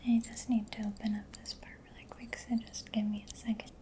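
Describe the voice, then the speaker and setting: A woman whispering breathily in a gentle, reassuring, unhurried ASMR roleplay as a mechanic. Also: fairly high pitch, level tone. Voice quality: breathy whispering, mostly unvoiced. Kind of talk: soft-spoken ASMR personal attention roleplay.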